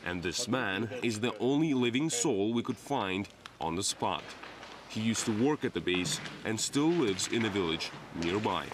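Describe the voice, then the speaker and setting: A man's voice: lowish voice, animated, normal speed, background noise. A man narrating a scripted television news report as the reporter. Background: music bed.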